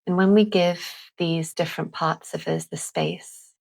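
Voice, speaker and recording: A woman leading a calming guided visualisation in a gentle, deliberate manner with long pauses. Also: low-pitched, gravelly, low-quality recording. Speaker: guided meditation host.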